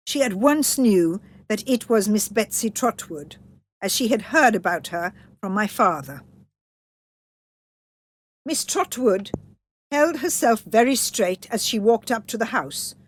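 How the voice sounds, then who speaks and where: A woman narrating a children's story in a scripted read-aloud style. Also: fairly high pitch.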